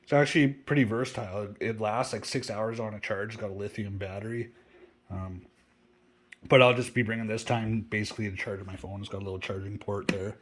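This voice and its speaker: A man's voice: on the low side, fairly quick, no room sound. Stuffed-up man chatting casually. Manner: relaxed, rambling, with many fillers and restarts. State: stuffed up from a cold. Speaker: bushcraft vlogger. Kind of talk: casual outdoor vlog talk.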